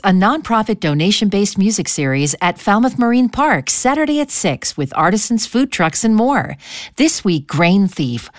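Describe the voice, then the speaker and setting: Lively woman reading station announcements. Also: mid-range voice, no echo, studio quality. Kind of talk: scripted sponsor reading.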